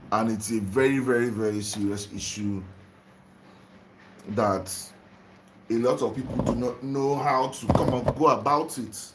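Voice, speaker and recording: A young man from Nigeria who has just woken up, livestreaming about spirituality in a slow, repetitive way and greeting viewers by name. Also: lowish voice, slight background noise, poor audio. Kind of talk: casual livestream talk on spirituality.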